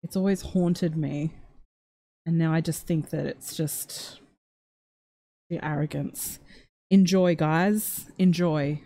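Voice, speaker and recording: A woman with a lowish voice hosts a livestream, chatting loosely and reading out viewer comments. Her tone is dark and mellow. She rambles, stopping and restarting her sentences. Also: fairly even intonation, slow pace, long pauses, no room sound. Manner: rambling, many fillers and restarts. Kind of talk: casual chat.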